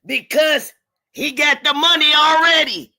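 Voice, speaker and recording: A woman telling stories in casual, slangy talk, with emphatic points and halting, repeated starts. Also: high voice, bright, forceful tone. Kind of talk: casual chat, storytelling.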